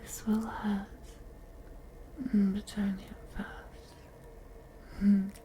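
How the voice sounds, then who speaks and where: A woman's voice: mid-range voice, little pitch movement, warm tone. A woman playing a wealthy, possessive female vampire in an intimate audio roleplay, speaking in a whispery, teasing, condescending way. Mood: seductive, hushed. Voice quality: breathy, whispery. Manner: slow, purring, condescending, deliberate.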